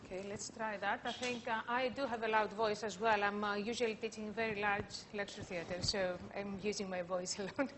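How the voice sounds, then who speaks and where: A woman lecturer giving an academic conference talk, speaking in a halting way with frequent filler sounds. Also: medium pitch, steady tone, a bit bright, a little hiss or hum.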